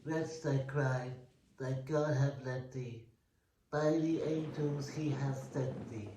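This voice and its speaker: A man playing a grave, commanding poetry reciter who speaks with slow, deliberate emphasis. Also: medium pitch, steady tone, warm tone, echoey room, slight background noise, natural voice, poor audio. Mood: grave, consoling.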